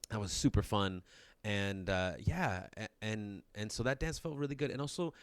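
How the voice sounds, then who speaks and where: Low-voiced man in his thirties, rambling. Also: normal speed, clear, resonant tone, no echo. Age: 30s. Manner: rambling, self-interrupting, laughs often. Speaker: podcast co-host. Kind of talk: casual chat.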